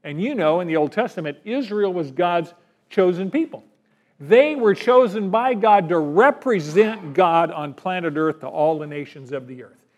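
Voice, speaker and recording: A man's voice: sits high, animated, moderate pace. A man preaching a sermon in an emphatic way, often asking the listeners rhetorical questions. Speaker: pastor.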